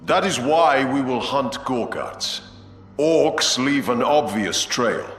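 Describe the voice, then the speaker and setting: A man's voice: medium pitch, animated, slow pace, fairly bright tone, soft background music. An actor playing a confident male chapter master who gives firm commands. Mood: commanding. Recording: echoey room, long reverb.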